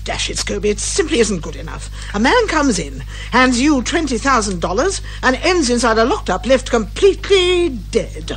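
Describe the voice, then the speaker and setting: A determined lady sleuth in a radio detective comedy. She is indignant, brisk and emphatic, with lively swings of pitch and a little music about. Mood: frustrated. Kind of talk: radio comedy drama acting.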